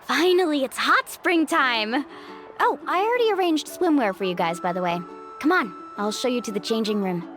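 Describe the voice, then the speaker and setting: Bubbly, high-voiced young woman. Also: animated, moderate pace, fairly bright tone, faint music behind. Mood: relaxed, cheerful. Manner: bubbly, chatty, playful. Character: cheerful, outgoing young woman who guides guests. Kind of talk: English video game voice acting.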